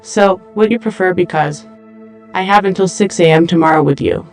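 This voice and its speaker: Audio drama role: seductive android dancer played by a woman, flat and deliberate delivery, formal phrasing, music underneath. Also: on the low side, natural voice. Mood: seductive, direct. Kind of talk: English-language audio drama dialogue.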